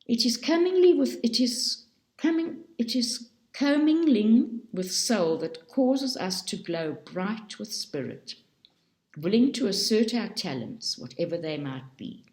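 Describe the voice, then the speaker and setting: A woman reading a book aloud for a study group, slowly and carefully, stopping often to correct herself. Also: fairly high pitch, sing-song, darkish tone, roomy sound. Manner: slow, careful reading with frequent self-corrections.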